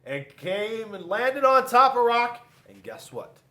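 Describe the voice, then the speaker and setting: A man reading a children's storybook aloud in an animated style, with dramatic emphasis and drawn-out words. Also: extremely high pitch. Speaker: police school resource officer reading to children.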